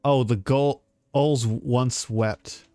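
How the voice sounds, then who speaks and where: A man's voice: mid-range voice, fairly even intonation, extremely slow, dark tone. A man giving informal, rambling livestream commentary as he plays a game. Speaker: game streamer. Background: game music.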